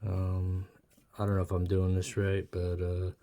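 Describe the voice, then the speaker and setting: A man giving a casual, unscripted demonstration as a hobbyist, thinking aloud in a halting, uncertain way. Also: deep voice, monotone. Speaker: hobbyist vlogger. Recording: noisy with clicks and radio. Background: radio noise and clicks.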